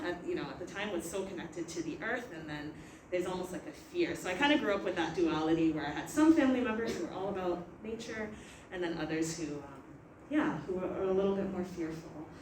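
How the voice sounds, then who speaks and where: A young Canadian woman talks reflectively on a panel, laughing now and then. Her voice sits a little low. It comes from some distance in an echoey room, with steady noise behind it. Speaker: panel moderator. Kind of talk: casual panel discussion. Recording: echoey room, far from mic, noisy.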